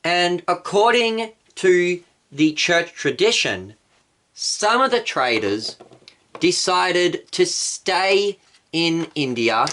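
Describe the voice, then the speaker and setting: Slow-paced pastor telling children's stories. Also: male voice, on the high side, a bit bright. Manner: patient storyteller addressing children. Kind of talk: children's Bible story lesson.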